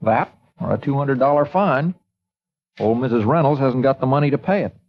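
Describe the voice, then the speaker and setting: A man's voice: medium pitch, normal speed, lightly acted. Man playing a folksy small-town newspaperman. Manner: easygoing drawl, folksy. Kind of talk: 1950s radio acting. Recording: dull lo-fi old radio broadcast.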